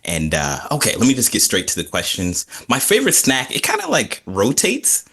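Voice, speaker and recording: A man's voice: mid-range voice, wildly swooping pitch, moderate pace, vocal fry, a bit bright, breathy edge. A man introducing himself on a casual video-call panel, cheerful and self-deprecating, chuckling between lines. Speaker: education advocate, panelist.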